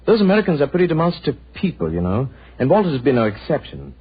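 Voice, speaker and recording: An actor playing a blunt, opinionated estate manager in 1940s radio style, with an emphatic way of talking. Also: male voice, fairly high pitch, wildly swooping pitch, fast, husky, heavily acted. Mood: suspicious. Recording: muffled old radio recording.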